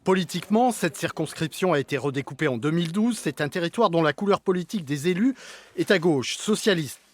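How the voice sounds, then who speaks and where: Man doing a news report voiceover, even read-out delivery, a bit high. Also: brisk. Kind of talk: scripted news report voice-over.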